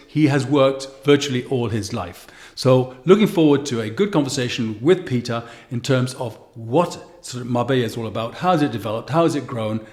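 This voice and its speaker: A man's voice: medium pitch, a little mellow. A man hosting a podcast, interviewing a guest in a conversational style. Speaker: podcast host. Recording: roomy, echoing voice recording.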